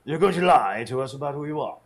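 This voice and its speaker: Radio drama narrator-sidekick: earnest man male actor, fairly high, raspy voice with gravel, dramatic storyteller. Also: expressive, fast. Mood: puzzled.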